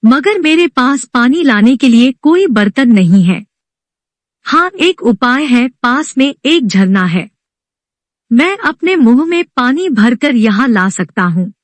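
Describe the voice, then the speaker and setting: A woman's voice: sits high, very animated, slow, no echo, full character voice. A woman voicing a naive, devout male hunter who thinks aloud with innocent wonder in a devotional storybook tale. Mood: concerned, resolving.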